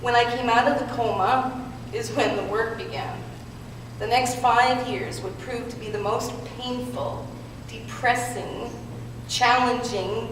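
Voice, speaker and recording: A woman giving a prepared, earnest speech at an awards ceremony, telling her own story in a measured way. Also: fairly high pitch. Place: large hall with audience. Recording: echoey hall from far off, background noise.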